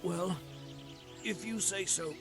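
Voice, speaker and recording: A wounded hired guard in a video game, bewildered and anxious. His highish voice is heavy with creak, and music plays prominently behind him. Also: slow, full character voice. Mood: weak, wary. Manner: anxious, questioning.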